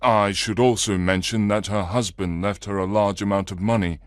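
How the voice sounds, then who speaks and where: A man's voice: lowish voice, steady tone, normal speed, no echo, studio quality, natural voice. A man voicing an upset, earnest husband. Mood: earnest, troubled. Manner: earnest and anxious. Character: troubled, upset married businessman.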